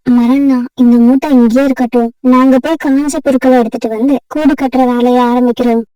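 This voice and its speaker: A woman voicing a polite, sensible female sparrow who speaks as a gentle peacemaker in a children's cartoon. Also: high voice, fairly even intonation, brisk, no room sound, far from the mic, natural voice, rough recording. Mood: practical. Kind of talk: cartoon voice acting.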